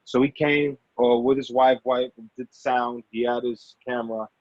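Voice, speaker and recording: A man speaking as an actor being interviewed, casual and halting, often repeating and rephrasing himself. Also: medium pitch, steady tone, no room sound. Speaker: actor and amateur producer, interview guest. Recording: dull, lo-fi audio.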